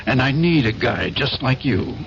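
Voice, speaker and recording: A middle-aged war veteran turned importer, brisk and sure of himself, selling a scheme. It is seventies radio drama, with a muffled tone and faint noise over the recording. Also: male voice, medium pitch, animated, creaky. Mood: insistent, persuasive. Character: confident older WWII veteran turned importer, persuasive schemer. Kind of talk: 1970s radio drama acting. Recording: dull, noisy old radio broadcast.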